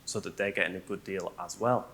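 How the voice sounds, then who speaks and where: A man with a fairly low but bright, forward voice explains his views on a conference panel. He goes step by step and keeps checking in with his listeners. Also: fairly even intonation, fairly quick. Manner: explanatory, often checks with "right?". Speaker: business excellence manager.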